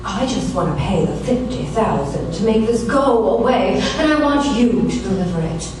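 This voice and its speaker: A woman's voice: medium pitch, expressive, normal speed, close to an everyday voice. A woman playing a haughty glamorous film actress who fears scandal, in a theatrical, affected noir radio comedy style. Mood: pleading, anxious. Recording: very echoey room, distant mic, clear noise.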